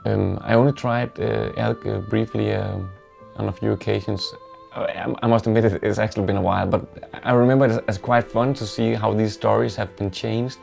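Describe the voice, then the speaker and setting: A man with a Danish accent, a native Danish speaker, casually and reflectively telling stories in an interview with halting pauses. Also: lowish voice, fairly even intonation, creaky, darkish tone, soft background music. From Denmark.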